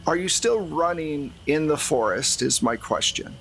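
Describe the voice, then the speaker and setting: A man's voice: on the high side, animated, a little slow, vocal fry, a bit bright, a little hiss or hum, poor audio. A man running a tabletop roleplaying game as game master, narrating and explaining in a conversational way.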